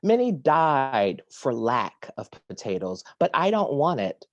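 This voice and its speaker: A man reading poems aloud at a virtual poetry reading from his home, measured and deliberate, introducing each piece with hesitant fillers. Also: medium pitch, very animated, lo-fi sound. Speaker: poet reading at a virtual series. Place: home kitchen or similar domestic room. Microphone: video call.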